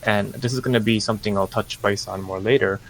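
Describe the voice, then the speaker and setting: A man with a low, gravelly voice lays out business plans at an unhurried, measured pace, stopping often for fillers and restarts. Faint noise sits under his voice. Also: steady tone, fairly bright tone, rough recording. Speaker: NFT project representative. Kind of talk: casual podcast interview.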